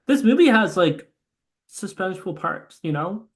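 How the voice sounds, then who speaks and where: A young man co-hosting a casual movie podcast, recapping the plot with lots of swearing and excitement. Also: high voice, moderate pace. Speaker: podcast co-host.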